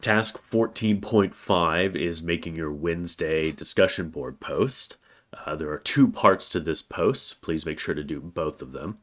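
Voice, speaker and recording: Very deep-voiced man with a cold. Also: unhurried. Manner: plain, steady delivery with frequent "uh" fillers. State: has a cold, slightly congested. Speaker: college writing instructor. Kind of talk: recorded course overview lecture.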